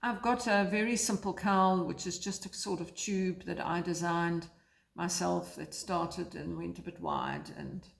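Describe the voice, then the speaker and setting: A woman from South Africa chatting casually about her knitting by a fire, rambling and hesitant with many fillers, her voice croaky today. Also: fairly low pitch, lively intonation, a little slow. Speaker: knitting vlogger. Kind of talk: casual chat to camera. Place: lounge by a fireplace, indoors. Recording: echoey room.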